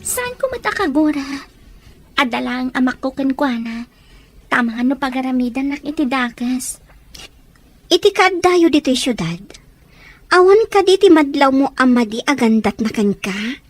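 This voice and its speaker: A very high, girlish voice of a young woman played by an actress in an Ilocano drama. She has a Filipino accent and sounds guarded yet tender. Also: lively intonation, moderate pace, pauses often. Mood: guarded, reflective. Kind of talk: Ilocano radio-style drama acting.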